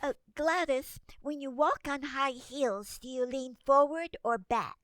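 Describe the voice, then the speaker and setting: A timid teenage girl acted by a woman in vintage radio comedy style. Her voice is rather high, hesitant and earnest. Clear car hum runs behind her. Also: a little slow, fairly bright tone. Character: shy, naive, nervous young teenage girl. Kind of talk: old-time radio comedy acting.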